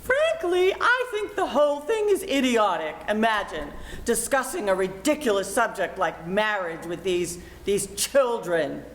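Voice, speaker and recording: Woman as domineering, scolding radio-comedy mother; haughty, measured, very expressive, roomy sound. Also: mid-range voice. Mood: scornful. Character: indignant, domineering middle-aged mother and wife. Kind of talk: old-time radio comedy acting. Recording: echoey room with background noise.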